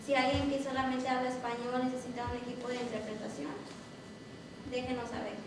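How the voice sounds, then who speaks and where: A young woman with a high voice introduces a workshop in halting, hesitant phrases. She is picked up from far off in a very echoey meeting room, with clear background noise. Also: level tone. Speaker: workshop presenter. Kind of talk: bilingual workshop presentation. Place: meeting room with brick walls, indoors. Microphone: distant camera microphone. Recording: distant, echoey, noisy room recording.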